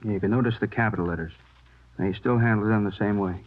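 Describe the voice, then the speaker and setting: A man's voice: on the low side, steady tone, lightly acted. Methodical American police handwriting expert, acted by a man. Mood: absorbed, matter-of-fact. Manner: explanatory, halting, checks listener follows. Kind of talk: 1950s radio drama acting. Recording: tinny old radio recording.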